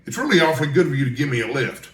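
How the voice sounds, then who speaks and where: An eager young reporter, acted out by a man reading aloud in a storybook narration. He is courteous, earnest and sure of himself. His bright voice carries clear room echo. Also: mid-range voice, very animated, rapid-fire. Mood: eager, grateful. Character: Malone, eager, polite, self-assured young male newspaper reporter. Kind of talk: audiobook character dialogue.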